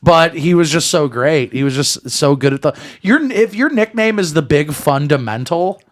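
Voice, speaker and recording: A man's voice: a little high, animated, normal speed. A man chatting casually on a podcast, emphatic and repeating himself to drive points home. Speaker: podcast speaker. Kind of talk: casual chat.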